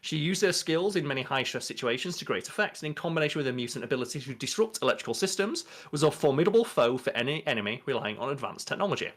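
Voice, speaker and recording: A man's voice: mid-range voice, expressive, fast talker, hardly any pauses, fairly bright tone. A young adult man chatting casually as a podcast co-host, reading out entries and commenting in an informal, sweary way. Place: small bedroom.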